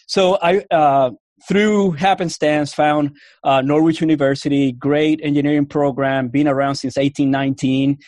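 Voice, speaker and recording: A man with a Cuban accent and a slightly high-pitched voice recounts his path into the Army as an interview guest. He rambles, doubling back and restarting often. The sound is dry and close, with no room around it. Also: flat delivery, normal speed, darkish tone, no room sound. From Puerto Rico. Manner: rambling, full of restarts and fillers. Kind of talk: casual interview storytelling.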